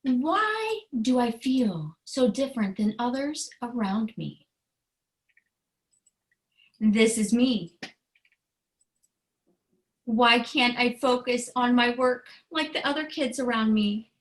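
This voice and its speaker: A woman who is a children's author, reading aloud and explaining in a deliberate, earnest way with simple phrasing. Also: on the high side, lively intonation. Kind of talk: storybook read-aloud and explanation.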